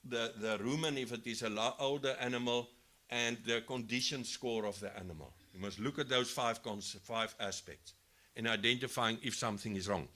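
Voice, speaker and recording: A man with a South African accent giving a live expo lesson on livestock health to farmers, explaining step by step and often restarting his phrases. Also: mid-range voice. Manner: says he has a loud voice. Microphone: handheld mic, later speaks without it.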